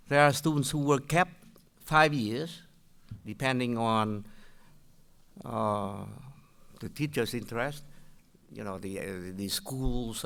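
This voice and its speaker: An older man from Vietnam with a Vietnamese accent, asking questions at a public meeting in a halting way, with many restarts. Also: medium pitch, vocal fry, fairly bright tone. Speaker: public commenter.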